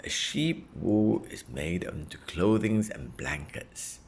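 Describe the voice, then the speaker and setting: A man reading a children's nonfiction book aloud as a parent would, in a deliberate way suited to a young child. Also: deep voice, very animated, gravelly, a little hiss or hum. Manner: deliberate, repeating and simplifying phrases for a child.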